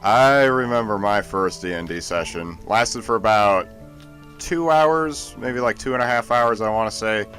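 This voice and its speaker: A man's voice: on the low side, unhurried, a bit bright, faint music behind. A man doing casual, joking game commentary with a wordy, playful way of telling things. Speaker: let's play co-commentator.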